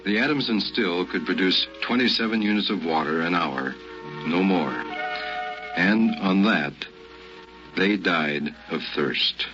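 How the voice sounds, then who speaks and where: Radio drama narrator: deep, somber, measured delivery over prominent music, old broadcast sound. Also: male voice, lo-fi sound. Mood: grave. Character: grave male narrator recalling a desert march from boyhood.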